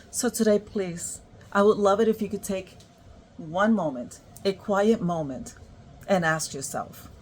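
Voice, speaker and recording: A woman from Puerto Rico with a New York accent, giving a slow, encouraging motivational self-help talk full of affirmations. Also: medium pitch, a little mellow. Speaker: motivational creator.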